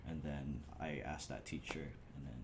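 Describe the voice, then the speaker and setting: A man from the Bay Area with a very low voice, telling stories as a podcast guest. He gets excited and swears a lot. Clear background noise sits under him. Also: moderate pace. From San Francisco Bay Area. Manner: excitable storyteller, swears freely. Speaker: guest, photographer. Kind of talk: casual chat.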